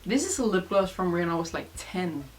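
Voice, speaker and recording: A woman's voice: lowish voice, lively intonation, brisk, soft background music. Young woman from Denmark, Danish native, rambling casual vlog chat, lots of fillers. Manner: rambling, many fillers and restarts. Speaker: vlogger. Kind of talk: casual chat answering tag questions.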